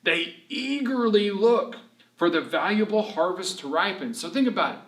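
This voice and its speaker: An echoey, somewhat distant recording of a man reading to children. His voice is high and he speaks in an animated, encouraging way. He poses simple questions and answers them himself, like a teacher leading young listeners along. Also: moderate pace. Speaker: children's ministry storyteller. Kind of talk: read-aloud story lesson for children. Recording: roomy echo, distant microphone.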